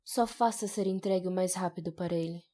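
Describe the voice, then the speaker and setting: A woman's voice: lowish voice, fairly quick, dark tone, close to an everyday voice. A woman with a Brazilian accent acting a fiercely protective, provoking mother who drives hard bargains in an audio novel. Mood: teasing, commanding. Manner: assertive, taunting.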